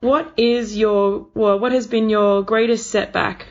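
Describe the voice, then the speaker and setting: A young woman conducts a casual interview. Her voice sits at an average pitch, and she speaks informally, affirming what she hears. The recording is a little dull and lo-fi. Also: fairly even intonation, unhurried. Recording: dull, lo-fi audio.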